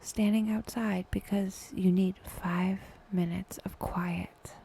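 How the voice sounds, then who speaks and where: Slow, near-whispering woman guiding meditation. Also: mid-range voice, fairly even intonation. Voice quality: soft, breathy, often near-whispered. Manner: unhurried, with long pauses between short phrases. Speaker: meditation guide, podcast host. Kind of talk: guided meditation, soft slow scripted narration.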